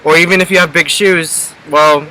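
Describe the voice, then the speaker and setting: A man's voice: fairly high pitch, steady tone, normal speed, bright, forceful tone, rough recording. An eighteen-year-old man from Connecticut chatting casually as an exchange student vlogger, rambling with many ums and restarts. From Connecticut, USA. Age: teens. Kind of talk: casual chat.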